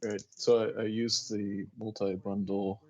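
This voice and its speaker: A man casually reporting on a test he ran, measured and a little hesitant. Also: fairly low pitch, little pitch movement, a little mellow, lo-fi sound. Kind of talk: casual chat.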